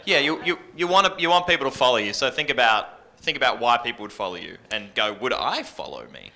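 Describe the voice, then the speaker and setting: A man's voice: medium pitch, very animated, fast, vocal fry, bright, forceful tone. A man with an Australian accent giving casual, informal advice as a radio presenter on a workshop panel. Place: live panel workshop indoors.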